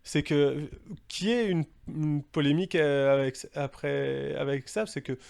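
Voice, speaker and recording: A man's voice: on the high side, steady tone, unhurried, gravelly, darkish tone, clean tone. A man speaking casually as a panelist, hesitant, with broken phrasing as he works out his point. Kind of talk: informal panel discussion.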